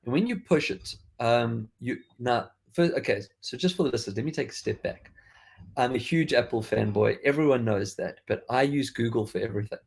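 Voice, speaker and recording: A man's voice: mid-range voice, a little fast, creaky, husky. A man from South Africa with a faded South African accent, hosting a podcast in a chatty, rambling way with frequent restarts. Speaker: podcast host. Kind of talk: casual tech chat.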